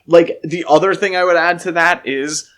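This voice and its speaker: A man's voice: a little high, fairly even intonation, moderate pace, fairly bright tone. Man debating on a podcast. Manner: opinionated, argues his points at length. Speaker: podcast co-host. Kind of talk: casual film discussion.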